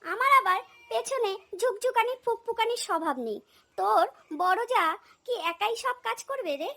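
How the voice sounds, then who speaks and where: A woman's voice: very high voice, normal speed, creaky, pushed and bright, heavily acted. A woman voicing a stern, exasperated older mother-in-law in a cartoon comedy, nagging with blunt sarcasm. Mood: scolding, exasperated. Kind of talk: cartoon family comedy dialogue.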